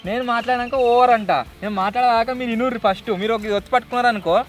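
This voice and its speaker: A man's voice: very high voice, fairly even intonation, racing, faint music behind. A man with a Telangana Telugu accent hosting a vlog in casual, slangy, bantering chat. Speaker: video host.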